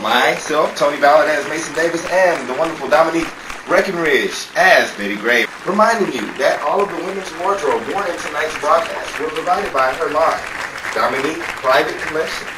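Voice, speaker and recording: A man's voice: on the high side, fairly quick, crowd noise. A man reading credits as an announcer in old-time radio style. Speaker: announcer and cast member. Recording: roomy, distant, noisy audience recording.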